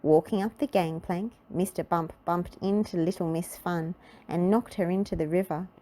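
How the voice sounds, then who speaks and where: A woman reads a children's story aloud. Her voice sits a little low, and she tells the tale expressively, in measured storybook narration. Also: steady tone, mellow.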